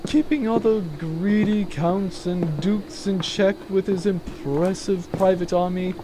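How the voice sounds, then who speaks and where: A woman's voice: fairly low pitch, steady tone, slow, noisy background. An actress playing a bored, cruel, haughty noblewoman in a comedic sci-fi audio drama, with a pompous, theatrical drawl. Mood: smug, languid.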